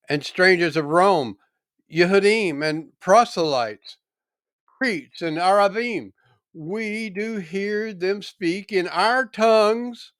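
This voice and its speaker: Slow, smooth-voiced man reading scripture. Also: mid-range voice, fairly bright tone, no echo, clean studio sound. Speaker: scripture reader. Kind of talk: solemn scripted Bible reading.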